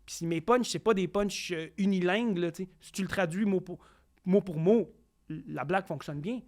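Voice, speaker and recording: High-voiced animated Quebec French man. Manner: animated storyteller acting out quotes, quick bursts with restarts. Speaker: comedian guest. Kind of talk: casual podcast interview storytelling.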